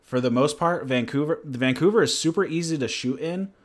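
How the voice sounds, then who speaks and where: A man's voice: a little high, a little fast. A man from New Hampshire, hosting his channel, chatting casually in a rambling, laid-back way. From New Hampshire, USA. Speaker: channel host. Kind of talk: casual livestream chat and Q&A.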